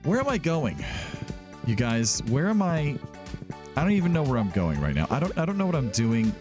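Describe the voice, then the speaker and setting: A man's voice: medium pitch, big pitch swings, moderate pace. A man hosting a gaming let's play in a casual, rambling, self-deprecating way, stopping and restarting as he goes. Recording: music behind the voice. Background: background music.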